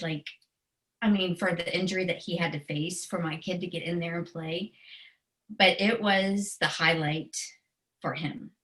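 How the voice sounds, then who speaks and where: A woman's voice: fairly low pitch, a bit bright, low-quality recording. A middle-aged American woman speaking in an earnest, emotionally open, conversational way as an interview guest telling personal stories. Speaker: guest author and special education educator.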